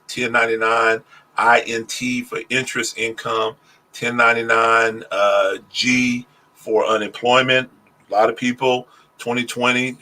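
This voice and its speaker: A man who is a pastor and a tax preparer, giving a casual livestream talk on taxes. He speaks slowly and deliberately, with many "uh" fillers. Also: mid-range voice, sharp tone, low-quality recording. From Oklahoma, US. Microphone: phone.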